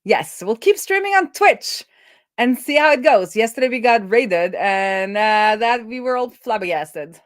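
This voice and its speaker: A woman's voice: on the high side, expressive, a little slow, dry recording, studio quality. A middle-aged woman hosting a livestream in casual, conversational chat. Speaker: livestream host.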